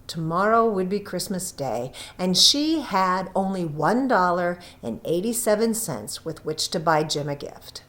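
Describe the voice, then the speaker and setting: A woman reads literary fiction aloud as a narrator. Her pace is slow and deliberate, with pauses between phrases. Her mid-pitched voice moves through a wide, lively range of intonation to carry the storytelling. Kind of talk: scripted narration, literary short story reading.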